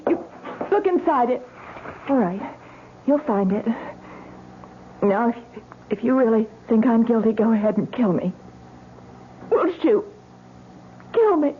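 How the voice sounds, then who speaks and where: An actress playing a passionate, desperate wife in an old radio melodrama, pleading and intense. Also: female voice, fairly high pitch, sing-song, measured pace, pauses often. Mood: daring, intense. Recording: tinny, noisy old radio recording.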